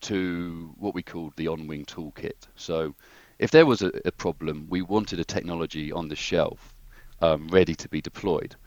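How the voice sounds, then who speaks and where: A man's voice: low voice, moderate pace, hardly any pauses, a little mellow, dry recording. A man, a guest engineer, talking through his career in a hesitant, thoughtful way with many restarts. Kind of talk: casual interview conversation.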